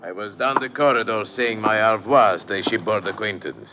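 A man's voice: fairly low pitch, fairly quick, background noise, lightly acted. An actor playing a courteous, affectedly genteel male villain in a 1950s radio drama on an old recording. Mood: suave. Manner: smooth-mannered, affectedly genteel. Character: suave, courteous, oily male shipboard suspect.

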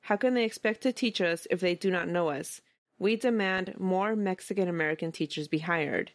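A woman's voice: on the low side, normal speed, no room sound, studio quality. A woman hosting a casual history podcast, telling the story in a conversational way and reading documents aloud. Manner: conversational, reads quoted documents aloud, chuckles often. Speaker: podcast host.

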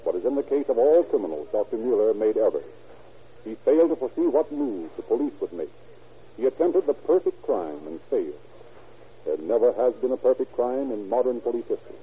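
A male radio narrator with a measured, formal announcing delivery. His voice sits in a middle register. It comes through a tinny, narrow old broadcast recording with faint hiss. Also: mid-range voice, sing-song.

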